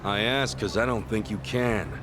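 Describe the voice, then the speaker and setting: A man's voice: lowish voice, moderate pace, background noise, lightly acted. A man playing a brash, good-natured, streetwise tough guy in a game cutscene, talking bluntly and casually in slang. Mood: cool, defiant. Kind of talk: English video game cutscene dub acting. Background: music.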